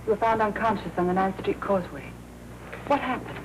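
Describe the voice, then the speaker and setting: Actress as American noir gal colleague, mid-pitched, caring yet tart, heavy old-film noise. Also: female voice, lively intonation, a little fast, natural voice. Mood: concerned. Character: concerned, tart female colleague of a newspaperman. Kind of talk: 1940s film noir acting. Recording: very noisy old film soundtrack.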